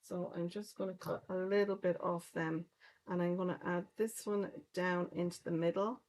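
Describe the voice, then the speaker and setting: A middle-aged woman with a mild Irish lilt talks through her card-making in an unhurried, chatty way. Her voice sits a little low, and she explains each step as she goes. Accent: soft Irish. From Ireland, County Clare. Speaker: card-making livestream host. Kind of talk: casual crafting livestream chat.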